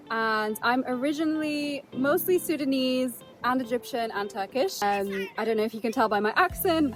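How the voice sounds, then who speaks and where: A young woman with a British accent, her voice sitting a little high, rambles cheerfully through a travel vlog. She is recorded outdoors on a windy beach. Faint music runs underneath her. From Netherlands. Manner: chatty, rambling, with fillers and restarts. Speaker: travel vlogger. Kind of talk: casual vlog to camera.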